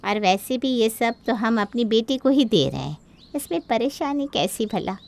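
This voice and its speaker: Woman as an eager-to-please mother, highish voice. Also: expressive, normal speed, vocal fry, darkish tone. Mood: insistent, reassuring. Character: earnest, eager-to-please middle-aged mother of the bride. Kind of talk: Hindi cartoon moral-story dubbing.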